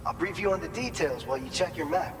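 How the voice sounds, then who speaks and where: An actor playing an encouraging male mission support officer in a video game, explaining things clearly and supportively. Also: on the high side, lively intonation, fast talker, natural voice. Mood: briefing, serious. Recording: long reverb, loud music behind.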